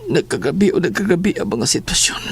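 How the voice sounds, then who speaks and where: A man playing a troubled young son who narrates his fear in a horror audio drama, earnest and halting. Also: a little high, big pitch swings, gravelly, background noise. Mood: fearful, pondering.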